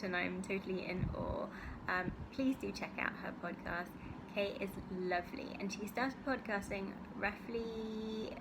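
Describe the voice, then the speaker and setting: A woman from the UK hosting her crafting video podcast from home, chatting casually and rambling with many ums. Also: medium pitch. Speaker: podcast host. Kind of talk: casual chat to camera. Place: home in Singapore. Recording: loud background noise.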